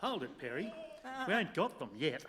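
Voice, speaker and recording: A man's voice: fairly high pitch, big pitch swings, normal speed, pushed and bright. A man playing a whiny, exasperated newspaper photographer and sidekick in a radio play, complaining and stammering over repeats. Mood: nervously agreeable. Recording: echoey hall, clear background noise.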